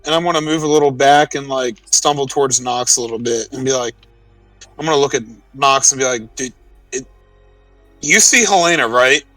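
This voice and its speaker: A man's voice: mid-range voice, steady tone, a little fast, fairly bright tone. A man chatting casually as a player in a tabletop game. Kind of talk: casual in-character game chat. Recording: distant dry mic, music behind.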